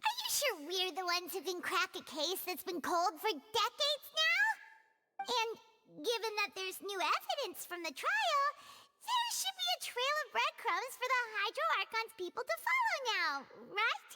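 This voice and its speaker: A woman's voice: very high-pitched, sing-song, unhurried, heavy background noise, heavily acted. A woman voicing a small, chirpy, eager fairy companion in an anime video game, talking like a child who names herself in the third person. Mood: doubtful. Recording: loud music behind the voice.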